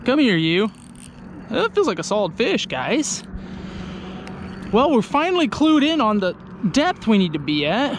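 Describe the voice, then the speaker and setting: A young man with a fairly high voice talks to camera from a small fishing boat on an open lake. His chat is loose and casual, and it turns loudly excited whenever a fish bites. A faint outdoor hiss sits behind him. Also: measured pace, long pauses. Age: young adult. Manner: chatty, excitable when fish bite. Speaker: fishing vlogger. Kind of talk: casual outdoor vlog commentary. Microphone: action camera on the boat.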